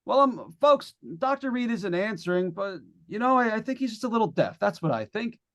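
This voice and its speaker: A man's voice: sits high, animated, fairly bright tone. A man playing a nervous, lonely radio broadcaster unravelling in a haunted house, stammering and rambling in 1940s radio suspense style. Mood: nervous chatter. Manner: stammering, halting, rambling with repeated words. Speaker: actor in old-time radio play. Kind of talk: 1940s radio suspense acting, live monologue.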